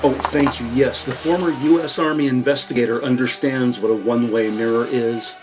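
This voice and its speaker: A man's voice: medium pitch, fairly even intonation, brisk, soft background music. A man narrating a scripted crime audio drama with vivid, slightly wry storytelling.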